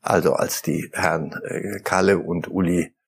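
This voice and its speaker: A middle-aged man with a husky, slightly breathy voice, giving his view as a football pundit on a talk show. He speaks thoughtfully and haltingly, often starting a phrase twice before finding the word. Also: low-pitched, fairly even intonation. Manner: thoughtful, halting, repeats words while searching. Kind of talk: studio talk show discussion.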